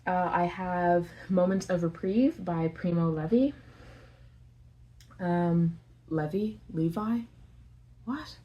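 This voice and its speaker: A woman from Canada, chatting casually to camera at home as a book vlogger, full of fillers and quick self-corrections. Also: on the low side, dull audio. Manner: chatty, filler-heavy, corrects herself on names. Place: at home, sitting on the floor among books.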